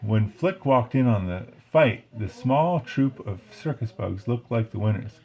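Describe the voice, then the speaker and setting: A man's voice: mid-range voice, expressive, a little slow, dark tone. A man reading a children's story aloud expressively, with casual, chatty asides. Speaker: storybook reader. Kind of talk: read-along story narration with casual asides.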